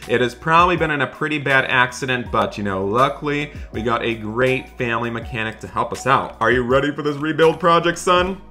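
A man narrating an improvised game roleplay as an excited, friendly dad, chatty and full of exclamations. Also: medium pitch, a little fast, few pauses, faint music behind. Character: excited friendly dad shopping for project cars. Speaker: host of a gaming roleplay channel.

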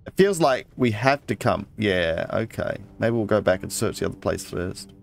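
A man's voice: on the low side, animated, moderate pace, gravelly, soft background music. A man playing a video game, casually commenting, reading in-game texts aloud and muttering asides to himself. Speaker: let's play gamer.

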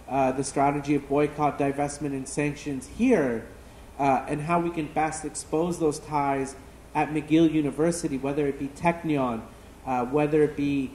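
A man's voice: on the high side, dark tone. Impassioned man speaking in an echoey classroom. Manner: impassioned, many "uh" fillers. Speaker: panelist. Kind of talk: activist panel speech. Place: university classroom. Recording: echoey classroom, faint noise.

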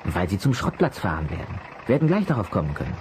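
Male radio-play detective, commanding, cautious, quick delivery, swinging intonation, noisy vintage transfer. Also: low voice, sing-song, a little mellow. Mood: explaining. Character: male detective leading two young helpers, cautious, commanding. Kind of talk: German audio drama acting. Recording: noisy old tape or vinyl transfer.

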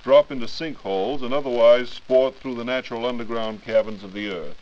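A man's voice: mid-range voice, fairly quick. A man co-hosting a scripted 1940s radio program, formal and dryly wry. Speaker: radio announcer and co-host. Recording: muffled 1940s radio recording.

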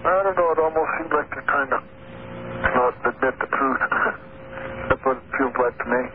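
A man's voice: medium pitch, normal speed. A rancher in his forties talking plainly and emphatically in a rambling way, with a chuckle now and then. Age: 40s. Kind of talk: recorded field interview. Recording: tinny phone-like radio recording with hiss.

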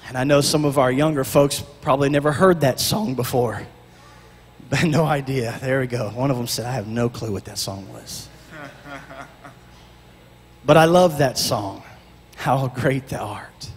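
A middle-aged man preaching on a church stage into a handheld mic, with a shouting, half-sung preacher cadence that drops to quiet prayer. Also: mid-range voice, measured pace, pauses often. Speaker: pastor. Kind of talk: Pentecostal sermon and prayer. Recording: long church reverb, music behind.

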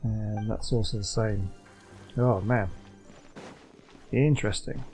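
A man giving casual gameplay commentary, thinking out loud and questioning himself as he goes. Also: on the low side, fairly even intonation, slow pace. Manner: muses aloud, questioning himself. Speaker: gaming video host. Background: faint game music.

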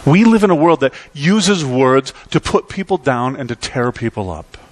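A middle-aged man delivers a sermon in a church sanctuary. His voice sits at an ordinary pitch but climbs and falls dramatically as he stresses each phrase, then drops to near quiet at the ends of lines. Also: expressive, normal speed, slight background noise. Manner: emphatic preaching with sweeping pitch and volume swings. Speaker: pastor.

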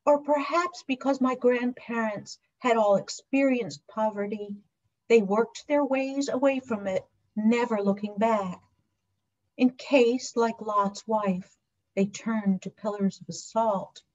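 Middle-aged woman deacon, slow deliberate sermon delivery, dull lo-fi webcam audio. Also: fairly high pitch, darkish tone. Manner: measured, reflective preaching. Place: home video call with virtual scenic backdrop. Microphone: webcam microphone on a video call. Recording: dull lo-fi video call audio.

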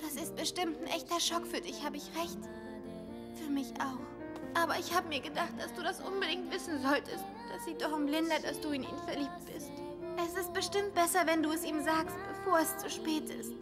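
A woman's voice: very high voice, normal speed, loud music behind the voice. An actress playing a caring, hesitant teenage schoolgirl in an anime audio drama. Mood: earnest, sad.

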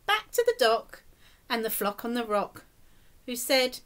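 A middle-aged woman reading a picture book aloud to young children, expressive and encouraging, often putting questions to them. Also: on the high side, measured pace. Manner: expressive, exaggerated, encouraging, speaking as to small children. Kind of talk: animated children's storytime reading with questions to the listeners. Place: small indoor room, plain wall.

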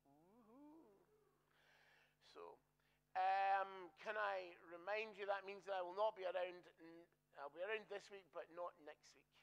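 A man's voice: high voice, measured pace, gravelly, clean tone, rough recording. A man, a minister, giving the church notices in a chatty, joking way and correcting himself as he goes.

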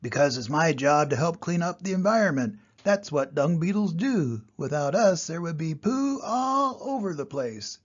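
An older man voicing a cheerful, hardworking male dung beetle in a storybook, explaining his job in a husky, gravelly voice. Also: a little high, lively intonation, moderate pace, few pauses, muffled recording. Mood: earnest.

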